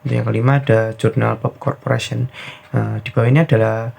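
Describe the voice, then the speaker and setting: A man's voice: mid-range voice, steady tone, fast, creaky, darkish tone. A young adult man, reading through a prepared class presentation as a student presenter.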